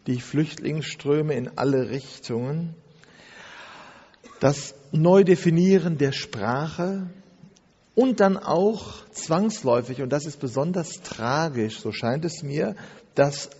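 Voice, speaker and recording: A man lecturing in a measured, methodical way, building his points through piled-up phrases. His voice is dark and a little husky, and it sounds dull as it rings through a hall with long reverb. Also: a little high. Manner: measured, listing phrases one after another with occasional fillers. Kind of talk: spoken lecture.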